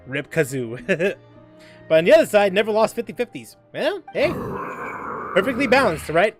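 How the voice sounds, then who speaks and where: A man with a high, very lively voice chats casually on a game stream. He talks in gamer slang and doubles back on his words, with faint game music behind him. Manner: gamer slang, halting repetitions. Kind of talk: casual livestream chat and reactions.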